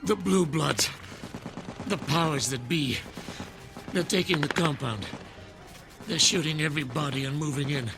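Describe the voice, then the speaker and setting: A man's voice: on the high side, measured pace, creaky, long pauses, music in the background. A man playing a wounded survivor, straining to gasp out a warning in an audio drama. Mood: desperate, alarmed.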